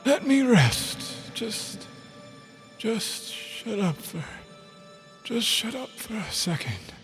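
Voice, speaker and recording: A man voicing a small, cranky but devoted wizard in a comedic fantasy audio drama, melodramatic and halting. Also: high-pitched, a bit bright, heavily acted. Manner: halting, melodramatic, fondly grumpy. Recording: long hall reverb with music behind.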